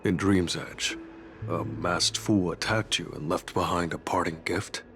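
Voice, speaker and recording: An actor playing a gruff but helpful, laid-back guide in a video game, with a casual, low-key delivery. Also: male voice, steady tone, measured pace. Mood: casual, concerned. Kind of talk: video game voice acting, English dub. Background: background music.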